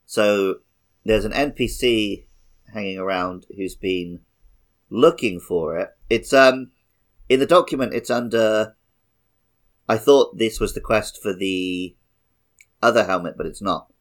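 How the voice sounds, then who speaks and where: A man co-hosting a podcast, chatting casually about a video game and often thinking aloud in a halting way. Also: lowish voice. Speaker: podcast co-host.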